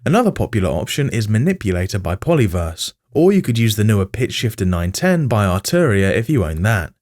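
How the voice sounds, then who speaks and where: Man narrating a tutorial with lively pitch. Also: fairly quick. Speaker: music production tutorial host.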